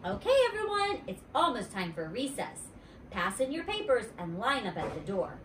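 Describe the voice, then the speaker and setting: Stern schoolteacher, firm and deliberate, done by a woman reader; distant mic, faint hiss. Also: medium pitch, very animated, reverberant, lightly acted. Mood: instructing. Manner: firm, scolding, slow and deliberate. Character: stern female schoolteacher, adult. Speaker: teacher.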